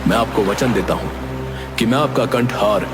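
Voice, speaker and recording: A man voicing a cunning, vengeful cartoon monkey who speaks formally, measured and persuasively. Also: on the low side, expressive, over music, natural voice. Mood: pleading, resolute. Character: cunning vengeful male monkey, smooth-talking and deferential to kings. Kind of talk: scripted cartoon story dialogue in formal Hindi.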